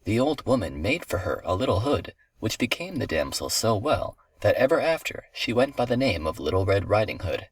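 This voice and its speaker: A man's voice: fairly low pitch, sing-song, normal speed, hardly any pauses, slightly rough, dry recording. A man reading a scripted fairy tale for children as a storyteller.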